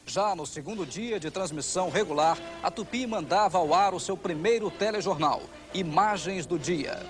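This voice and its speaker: A man's voice: high voice, moderate pace. A Brazilian man reading scripted documentary narration. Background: background music.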